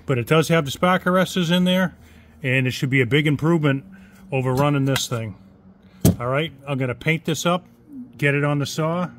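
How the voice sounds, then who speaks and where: A middle-aged man talking casually at his workbench, explaining each step in a plain-spoken way. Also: on the high side. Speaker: chainsaw modder. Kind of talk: casual workshop tutorial. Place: workshop bench indoors.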